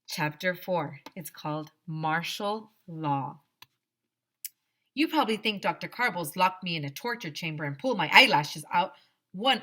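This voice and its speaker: A woman reading a children's story aloud as a teacher, expressive and pausing for asides to her listeners. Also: deep voice. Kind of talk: animated children's read-aloud.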